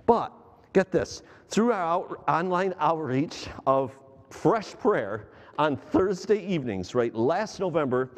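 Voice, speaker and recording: Animated middle-aged man preaching in a hall. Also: medium pitch. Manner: animated, gesturing preacher who asks the congregation questions. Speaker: pastor. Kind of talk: sermon. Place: large church stage. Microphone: headset mic.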